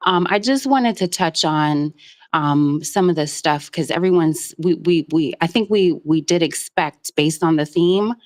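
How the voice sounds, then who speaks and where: A woman from Jamaica calling in to a live show, speaking earnestly and at length, with many pauses and "um"s. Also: low voice. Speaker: caller. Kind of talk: casual call-in commentary.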